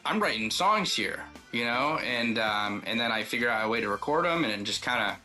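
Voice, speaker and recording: A man's voice: mid-range voice, expressive, a little fast, sharp tone, faint music behind, rough recording. A man chatting casually as an interview guest, a country singer-songwriter telling personal stories in a loose, rambling, informal way.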